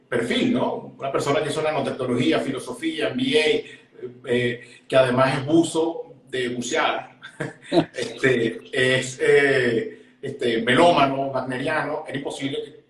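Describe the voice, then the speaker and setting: A man hosting a casual live-streamed interview, friendly and joking as he introduces and questions his guest. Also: mid-range voice. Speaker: host. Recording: echoey room.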